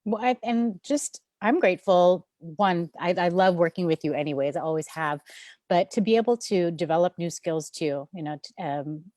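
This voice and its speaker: A low-voiced woman chats as a guest over a video call, with a dry, close sound. She talks earnestly and gushes with enthusiasm. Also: normal speed, a little mellow, dry recording. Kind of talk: casual chat.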